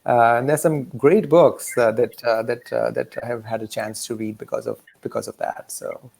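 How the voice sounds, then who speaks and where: A middle-aged man from Pakistan, an author guest who talks in a halting way with many fillers and restarts. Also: mid-range voice, fairly even intonation, creaky, a little mellow. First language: a language of Pakistan. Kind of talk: casual interview talk.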